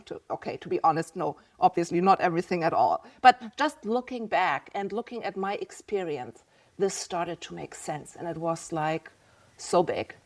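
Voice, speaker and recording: A woman in her fifties with a German accent, a podcast guest talking candidly and reflectively about her own experience. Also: on the low side. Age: 50s. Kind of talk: casual interview talk.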